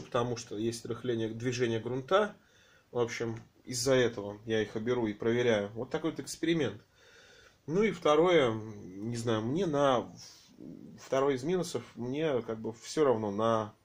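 A man with a slightly hoarse voice from a cold, filming his aquariums at home on a handheld phone and talking in a rambling, hesitant way full of fillers. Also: mid-range voice. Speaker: aquarium hobby vlogger. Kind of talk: casual home vlog, off-camera narration.

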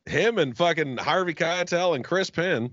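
Brief: profane man in his thirties, lively swings in pitch, dry and slightly dull home-mic sound. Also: normal speed, no echo. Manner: loud, swearing, laughs often. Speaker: podcast co-host. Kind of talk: casual profane chat. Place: home room, remote video call. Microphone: studio mic on arm, headphones.

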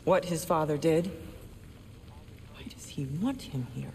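A woman's voice: low voice, measured pace, mellow, a little hiss or hum. A middle-aged woman playing a stern, protective warrior mother in a video game, curt and disapproving. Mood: suspicious. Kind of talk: video game cutscene acting.

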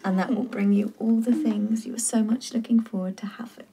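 An actress playing a supportive young best friend in a naturalistic film drama, speaking in an everyday, persuasive way. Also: female voice, on the high side, steady tone, a little fast, gravelly, warm tone, slightly rough, rough recording. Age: young adult.

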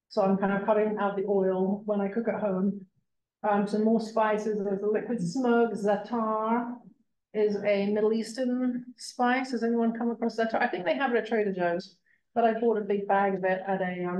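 A woman's voice: medium pitch, warm tone. A woman presenting a casual slideshow talk in a library, chatty and full of fillers like um and you know. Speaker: library talk presenter on plant-based meal prep. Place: library meeting room. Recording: echoey room from far off.

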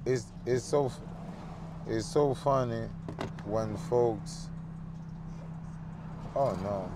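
A man in his thirties talking casually to the camera while he drives, musing slowly with lots of fillers. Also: medium pitch. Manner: relaxed, slow, drawn-out musing with many fillers. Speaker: dance show host. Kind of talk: casual driving vlog talk. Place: inside a car on a city street. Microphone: phone camera on the dashboard. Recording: road and engine noise.

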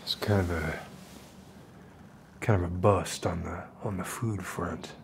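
A man acts out an unstable middle-aged survivalist captor in a soft-spoken ASMR roleplay. His voice is very low and full of gravelly fry. He rambles in hesitant reassurances, with faint noise in the basement room. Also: fairly even intonation, unhurried. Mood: weary, coaxing. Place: dim concrete basement, indoors.

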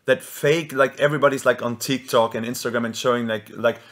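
German-accented man, halting speech. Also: mid-range voice, fairly even intonation, fairly bright tone. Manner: halting, searches for words. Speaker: podcast host, band member. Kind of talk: casual podcast chat. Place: small room with guitars on the wall.

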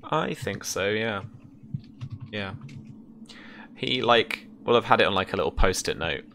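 A young man casually narrating as game master and explaining rules, in a hesitant, halting way, on a video call. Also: lowish voice, animated, sharp tone. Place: indoor room at home, over video call. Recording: faint background noise.

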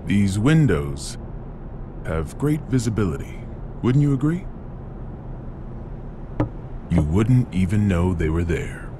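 An actor playing a jovial, boastful middle-aged President of the United States in a dubbed anime game cutscene. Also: male voice, deep voice, very animated, slow, long pauses, noisy background, full character voice.

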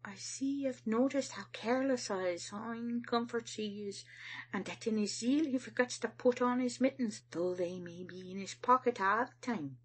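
A woman voicing a gentle, trusting middle-aged mother with a Scottish accent, soft, fond and polite as she dotes on her son. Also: on the high side, moderate pace, slightly rough, voice pushed up.

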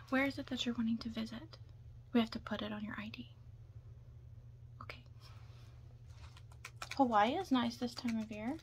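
A young woman speaks in a hushed mix of whisper and soft talk for an ASMR roleplay. Her voice sits a little high, and she sounds chatty and hesitant. She is close to the mic, with a faint hiss behind her.

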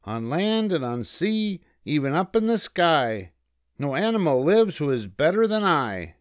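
Man as a storybook rabbit, cocky, boastful, high-pitched, snappy, swooping intonation. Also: very animated, unhurried, no room sound, clean studio sound. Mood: bragging. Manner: bragging, snappy.

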